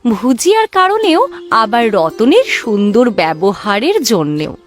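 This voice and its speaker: A woman reading scripted narration for a cartoon fairy tale, as its storyteller. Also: on the high side.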